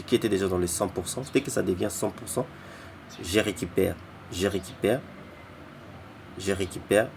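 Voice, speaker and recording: A man giving a casual walkthrough of an app, halting and often repeating words and restarting phrases, who says he is not an English speaker. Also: low-pitched, darkish tone, slight background noise. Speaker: app tutorial presenter. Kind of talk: casual screen-recorded walkthrough.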